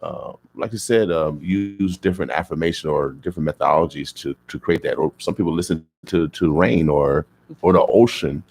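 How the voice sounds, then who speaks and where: A man hosting a radio talk show, speaking haltingly with many fillers. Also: a bass voice, a little mellow. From Hilltop neighborhood. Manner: frequent backchannel, halting with fillers. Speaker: radio host.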